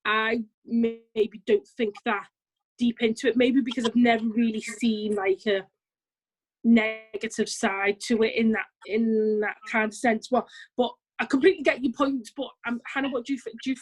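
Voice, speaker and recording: A woman's voice, fairly high, chatty and relaxed in a casual group talk. She draws the others in with easy questions. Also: normal speed, rough recording. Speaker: podcast co-host. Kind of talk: casual panel chat.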